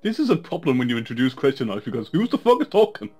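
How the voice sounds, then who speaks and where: Joking guy riffing on a game, lots of pitch bounce, laughs a lot. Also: very animated, quick pace, a little mellow, breathy edge, faint music behind. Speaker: let's play co-host. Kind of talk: casual commentary over a game.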